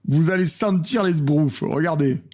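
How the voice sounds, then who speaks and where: High-voiced French man mocking a video. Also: measured pace, darkish tone. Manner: mocking, laughs often, repeats lines. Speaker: streamer reacting to videos. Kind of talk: casual reaction commentary.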